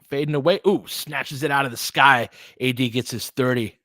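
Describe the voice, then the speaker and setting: A man's voice: medium pitch, normal speed, sharp tone, no echo. A man doing informal basketball play-by-play as a host, chatting conversationally and thinking aloud. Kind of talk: casual basketball commentary mixed with chat replies.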